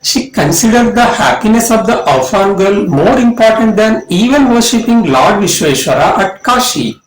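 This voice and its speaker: High-pitched Indian man, animated lecturer. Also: normal speed, soft background music. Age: middle-aged. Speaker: college English lecturer. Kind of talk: scripted lesson narration. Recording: roomy, echoing voice.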